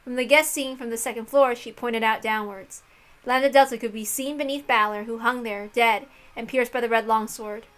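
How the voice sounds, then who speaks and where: Higher-voiced woman reading aloud casually. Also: fairly even intonation, normal speed, a bit bright. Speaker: reader of a visual novel with commentary.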